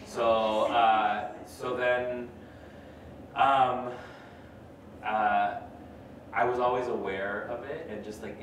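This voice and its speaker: A young man whose first language is Spanish, hosting a live podcast and talking in a chatty, animated way full of fillers. His voice sits a touch low. It is heard from across a big echoing gallery hall, with steady noise behind. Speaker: podcast host and artist. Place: large gallery hall with audience. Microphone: table mic heard from far off. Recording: echoey hall, far from mic, noise.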